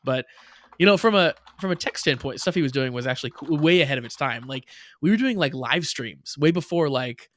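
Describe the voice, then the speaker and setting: A man's voice: mid-range voice, lively intonation, a little fast, dry recording. A young man co-hosting a podcast, chatting in a rambling way with many restarts and frequent laughs. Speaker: podcast co-host. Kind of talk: casual chat.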